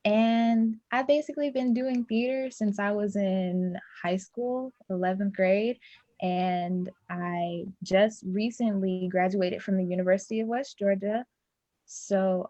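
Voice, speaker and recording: A woman's voice: medium pitch, fairly even intonation, a little slow, warm tone, dry recording, highs cut off. A woman, casually introducing herself as a stage actor. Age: 20s.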